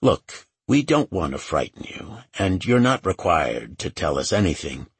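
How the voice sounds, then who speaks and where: A man's voice: low-pitched, big pitch swings, measured pace, breathy edge, no echo, full character voice. A man voicing a blunt, commanding male federal agent with an authoritative, matter-of-fact manner in an audiobook. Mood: firm, pressing.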